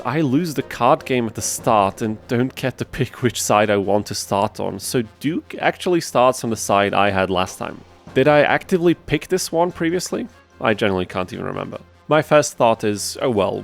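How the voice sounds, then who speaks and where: A man's voice: lowish voice, gravelly. A man hosting a video game challenge, reading an informal scripted narration with wry, dryly sarcastic asides. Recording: faint music behind the voice.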